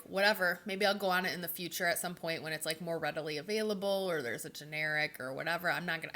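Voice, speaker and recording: A woman's voice: lowish voice, sharp tone. A woman giving a rambling, casual vlog update, her voice stuffy from a cold and full of fillers. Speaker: vlogger.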